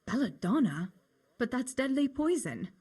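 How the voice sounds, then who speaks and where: A woman's voice: a little high, sing-song, measured pace, a little mellow, husky, full character voice. A young woman playing a wry, reluctant miller's daughter in a medieval video game, with a dry manner. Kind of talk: video game cutscene acting.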